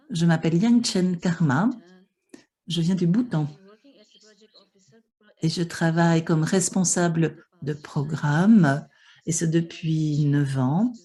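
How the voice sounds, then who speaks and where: Husky low-voiced woman interpreting a panel. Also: mellow. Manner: hesitant, many fillers and restarts. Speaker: simultaneous interpreter for an online panel. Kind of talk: simultaneous interpretation of a conference panel.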